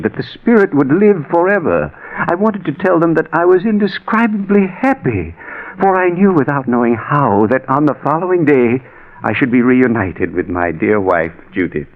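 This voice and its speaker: Solemn actor as a ghostly narrator, swooping pitch. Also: male voice, close to an everyday voice. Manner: solemn, theatrical. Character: earnest dead man's spirit narrating to his brother. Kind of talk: 1940s radio drama narration. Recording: thin, phone-like old radio recording.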